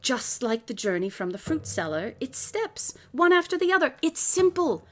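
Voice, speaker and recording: Pompous high-pitched brilliant detective. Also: female voice, very animated, normal speed, faint music behind. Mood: lecturing, emphatic. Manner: pompous, didactic, precisely articulated. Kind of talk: live radio drama acting. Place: closet or desk at home.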